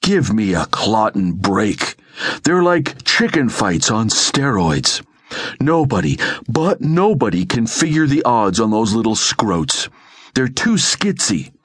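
A male reader acts out a brash, foul-tempered woman comrade in an adventure story. She grumbles and scoffs, her pitch swinging widely. The sound is dry and close. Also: huge pitch swings, slow, breathy edge, dry recording, heavily acted. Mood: scornful. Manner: grumbling, scornful, exclamatory.

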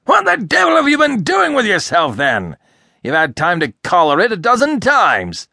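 A man's voice: mid-range voice, animated, fast, fairly bright tone, no echo, clean studio sound. A man reading a storybook, voicing an irascible, sarcastic, blustering older rich American man who hectors explosively. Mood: irritable, demanding. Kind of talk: audiobook character acting.

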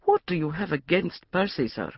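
A woman's voice: fairly low pitch, lively intonation, moderate pace, breathy edge, no room sound, lo-fi sound. Woman voicing a shy polite young man. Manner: hesitant, polite.

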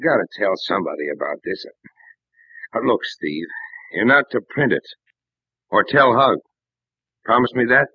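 An actor playing a troubled, sheepish American star ballplayer who talks hesitantly and evasively in an old-time radio drama. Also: male voice, fairly low pitch, sing-song, moderate pace, pauses often, husky, dry recording. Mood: troubled, confiding. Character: sheepish, troubled big-hearted star baseball slugger. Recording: dry, dull old radio recording.

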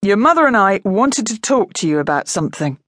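Woman as a worried, kindly farmer dad, lively intonation. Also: medium pitch, fairly quick, no echo, clean studio sound. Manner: gentle, hesitant. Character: kindly middle-aged farmer father, worried but trying to sound positive.

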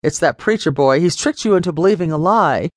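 A woman's voice: lowish voice, animated, a little fast, no echo, studio quality. A woman voicing an angry wealthy father, raised and blustering with threats. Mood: furious.

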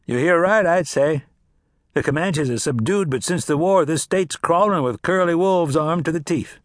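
A man plays a smooth, chatty middle-aged peddler, talking in a genial, slightly raised voice. The recording is dry and close. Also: on the high side, normal speed, no echo, voice pushed up, lightly acted. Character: suave middle-aged male traveling salesman.

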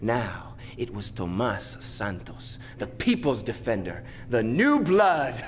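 An actor playing an idealistic, brooding Chicano lawyer in an audio drama, declaiming poetically. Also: male voice, medium pitch, big pitch swings, slow pace. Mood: fervent. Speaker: lead actor and narrator. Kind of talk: theatrical audio drama acting.

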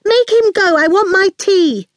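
A woman voicing a bratty, demanding eight-year-old girl in a story, piercing, loud and whiny. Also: measured pace, no echo. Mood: whining. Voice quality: piercing, shrill.

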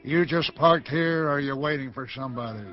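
An actor playing a bullying American police lieutenant in a hardboiled radio drama, speaking in a curt, menacing manner. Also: male voice, on the high side, brisk, lo-fi sound, faint music behind, close to an everyday voice. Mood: menacing, suspicious.

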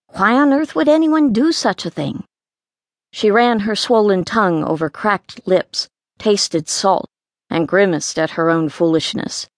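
A woman's voice: mid-range voice, no room sound, studio quality. A woman reading a story aloud as an audiobook narrator, in scripted narration.

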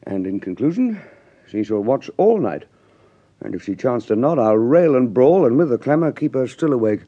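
An actor playing a blustering, tyrannical husband in a classic radio Shakespeare comedy, theatrical, swinging between roaring and mock-sweet coaxing. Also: male voice, mid-range voice, sing-song, normal speed, dark tone, husky, lo-fi sound. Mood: sly, scheming. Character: blustering, tyrannical husband, loud master taming his bride.

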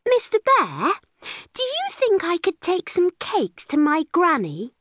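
A woman's voice: very high-pitched, huge pitch swings, measured pace, fairly bright tone, no room sound, studio quality, pitched above the performer's own voice, exaggerated voice. A woman voicing a plucky little girl in a storybook, polite but firm. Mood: crafty, polite.

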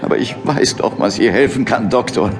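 A man's voice: medium pitch, fairly quick, natural voice. An actor playing a brooding, determined male investigator in an audio drama. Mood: worried, insistent. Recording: music behind the voice.

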